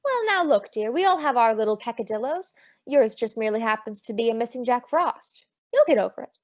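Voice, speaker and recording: A woman's voice: a little high, lively intonation, brisk, close to an everyday voice. A woman playing a breezy, wisecracking private eye, narrating and acting in an old-time radio detective show. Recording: dull lo-fi audio.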